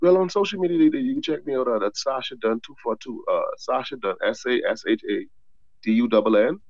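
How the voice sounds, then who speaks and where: Man from the Bahamas, author guest, repeats words, lively storyteller, dull lo-fi dry sound. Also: medium pitch, fairly quick, no echo. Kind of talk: casual interview talk.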